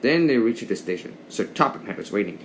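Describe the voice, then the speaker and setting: Animated man reading a story aloud haltingly. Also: fairly low pitch, slight background noise, low-quality recording. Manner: halting, stumbles and repeats words. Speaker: storybook narrator. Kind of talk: children's read-along narration.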